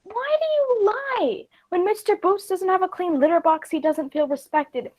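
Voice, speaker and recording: A woman acts out a self-important actress with a very high voice. She is temperamental and indignant, stressing her grievances in theatrical comic style. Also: normal speed, darkish tone. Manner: indignant, emphatic. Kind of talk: theatrical stage comedy acting.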